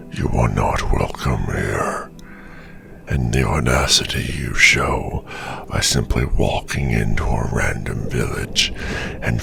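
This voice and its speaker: A man playing a gruff, defiant werewolf clan veteran in a fantasy audio roleplay, with a growling voice and formal, deliberate speech. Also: fairly bright tone, full character voice. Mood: stern, accusing. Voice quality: very deep, gravelly, growling.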